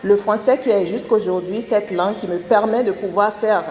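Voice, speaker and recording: A woman's voice: mid-range voice, moderate pace, a little mellow. A woman from Benin, a native Gun speaker, giving a formal, eloquent and wry speech at a forum. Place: conference hall. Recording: echoey hall.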